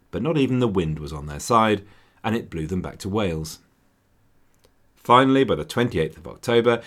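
A man's voice: deep voice, fairly bright tone. A man hosting a history podcast, reading a scripted narration with wry, dry jokes along the way. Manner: wry, dryly joking asides. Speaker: podcast host.

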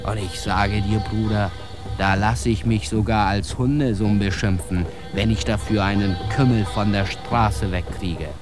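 An actor playing a zealous, tough-talking saviour of street kids, in an emphatic, coarse, preaching radio drama monologue. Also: male voice, lowish voice, steady tone, unhurried, close to an everyday voice. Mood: zealous, insistent. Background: music.

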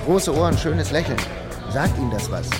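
Male searcher, acted, earnest, dutiful, higher pitch, radio play, loud music behind. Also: animated, normal speed, lightly acted. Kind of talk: German radio crime drama acting.

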